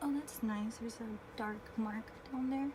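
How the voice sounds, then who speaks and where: A woman in her twenties talks through a makeup routine in an easy, chatty, self-deprecating way and often clears her throat. She speaks slowly in a slightly high voice. Her phone picks her up at home against a steady hiss of background noise. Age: 20s. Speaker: beauty vlogger. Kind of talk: casual makeup tutorial chat. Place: home room with air conditioner. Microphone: phone camera. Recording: noisy home phone recording.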